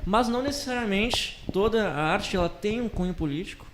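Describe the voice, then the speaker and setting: A man's voice: sits high, expressive, a little fast, background noise. A man talks casually as a podcast co-host. He reasons aloud in a reflective way, often tagging his points to check for agreement. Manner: thinking aloud, seeking agreement with tag questions.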